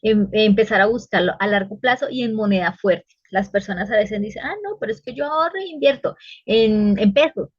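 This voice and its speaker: A woman's voice: medium pitch, husky, no room sound. Spanish-speaking woman advisor, dry lo-fi sound. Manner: explanatory, measured advice-giving. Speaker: financial advisor, podcast guest. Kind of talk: casual podcast interview.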